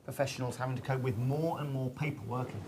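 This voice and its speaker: A man's voice: mid-range voice, fairly even intonation, fast, a little mellow. A man casually moderating a webinar as host, with an informal, lightly jokey manner. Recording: clear background noise.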